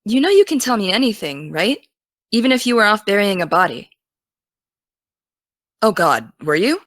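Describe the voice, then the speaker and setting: A young American woman playing an excitable, teasing teenage aspiring journalist in naturalistic, casual audio drama dialogue. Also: mid-range voice, moderate pace, no echo, clean studio sound.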